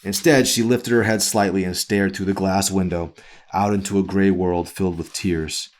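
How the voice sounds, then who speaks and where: A man reading a novel aloud as its author, in a brooding, dramatic narration style with deliberate phrasing. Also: low-pitched, steady tone, a little mellow.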